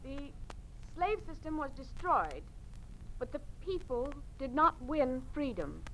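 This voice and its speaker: A woman's voice: high-pitched, big pitch swings, slow pace, a little hiss or hum, exaggerated voice, poor audio. An actress playing a timid female student reciting hesitantly in a 1950s radio drama.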